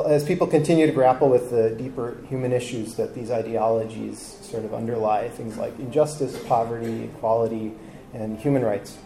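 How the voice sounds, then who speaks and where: A man giving a casual live talk to an audience, relaxed and hesitant, with frequent ums. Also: medium pitch, low-quality recording. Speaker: travel talk presenter. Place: live talk before a seated audience with drinks.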